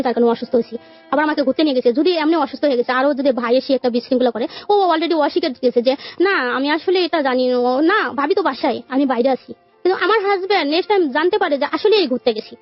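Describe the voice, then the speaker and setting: A woman with a Bangladeshi accent telling her life story as a radio guest, rambling and quoting conversations as she goes. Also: high-pitched. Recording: muffled radio audio with music behind. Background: background music.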